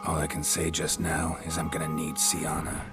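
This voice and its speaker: An actor playing a gruff, stoic monster-hunting mercenary in a fantasy game, deadpan and clipped, with a deep gravelly voice. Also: male voice, moderate pace, over loud music. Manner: terse, clipped, deadpan delivery. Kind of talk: video game cutscene acting.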